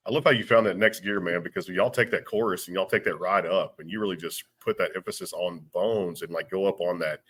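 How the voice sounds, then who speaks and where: Casting: quick, deep-voiced radio host, enthusiastic. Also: male voice, a bit bright, breathy edge. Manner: upbeat, enthusiastic, plugs the show. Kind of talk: radio interview.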